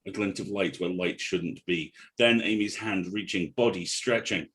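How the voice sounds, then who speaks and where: Low-voiced man reading scripted narration. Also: normal speed, fairly bright tone, slight background noise. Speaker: stage direction reader. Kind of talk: scripted narration at a table read.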